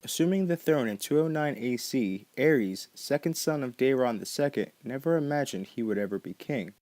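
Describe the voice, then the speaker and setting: A man narrates a podcast from a prepared script in the measured role of host. His voice sits at a middle pitch, and the sound is close and dry with no room around it. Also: expressive, darkish tone, no echo.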